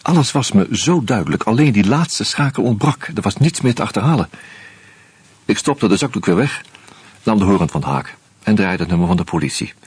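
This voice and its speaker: A man narrating a radio drama as a weary man investigating a murder, in a measured, grim, low-key way. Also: animated, slightly rough, dry recording. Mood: tense. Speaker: first-person narrator and detective figure.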